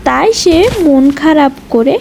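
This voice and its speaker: A woman's voice: high-pitched, unhurried, gravelly, darkish tone. A woman reading scripted narration for a cartoon story as its storyteller.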